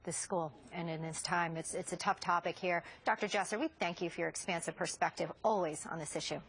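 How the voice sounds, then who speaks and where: A woman news anchor introducing a segment and questioning a guest in brisk, inquisitive fashion on live TV. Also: on the low side, steady tone, a bit bright, slight background noise.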